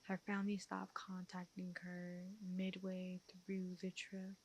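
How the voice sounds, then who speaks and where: A woman performing a soft-spoken ASMR roleplay as a detective, with measured, deliberate questioning. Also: lowish voice, monotone, muffled recording, a little hiss or hum.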